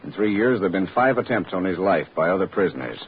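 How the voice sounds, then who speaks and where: A gruff worn-out prison warden, deep voice, blunt and bitter, muffled old radio recording. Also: male voice, expressive, fast talker, a little hiss or hum. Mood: guarded, matter-of-fact. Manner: blunt, clipped, exasperated. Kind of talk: old-time radio drama acting.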